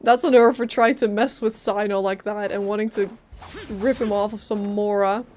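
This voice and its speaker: A woman's voice: medium pitch, fairly even intonation, moderate pace, a little mellow, a little hiss or hum. A woman streaming a video game live, commenting casually in a chatty, rambling, giggly way. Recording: faint game music behind voice. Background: faint game music.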